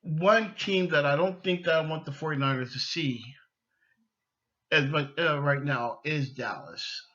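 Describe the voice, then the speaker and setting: A middle-aged man hosting a sports podcast solo, giving opinions emphatically and haltingly, repeating phrases as he goes. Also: fairly high pitch, sharp tone, lo-fi sound.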